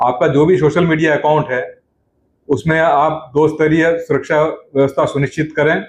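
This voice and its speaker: A man, a senior police officer, delivering a formal, scripted public safety appeal in short, deliberate phrases. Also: fairly high pitch. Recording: roomy echo with faint background noise.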